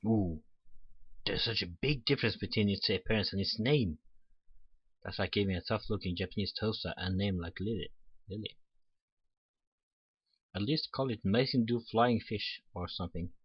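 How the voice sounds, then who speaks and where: Low, husky-voiced man reading aloud. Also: moderate pace, pauses often, lo-fi sound. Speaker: let's player. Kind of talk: reading a visual novel aloud with casual asides. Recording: roomy sound with faint music behind.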